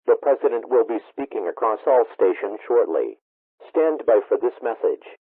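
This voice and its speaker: A man's voice: mid-range voice, animated, normal speed, slightly rough, no room sound. A man reading scripted emergency broadcast announcements in a formal, impersonal manner as an alert announcer. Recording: muffled tinny radio broadcast sound.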